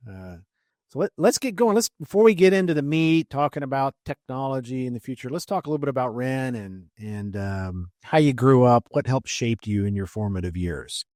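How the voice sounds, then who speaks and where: Casting: male podcast host, mid-pitched voice, upbeat and welcoming, dry close mic. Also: animated, normal speed, darkish tone, no room sound. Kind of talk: podcast intro and interview.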